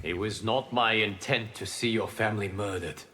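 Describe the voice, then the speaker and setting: A man as a solemn, deep-toned god. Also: normal speed, lightly acted. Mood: solemn, benevolent. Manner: stately, formal. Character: solemn, benevolent, powerful male god. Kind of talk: video game fight banter. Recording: faint background noise.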